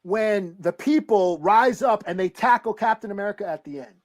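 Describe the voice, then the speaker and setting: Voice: medium pitch, moderate pace. A podcast co-host chatting and debating casually, in informal, slangy language with plenty of swearing. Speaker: podcast co-host discussing comic books.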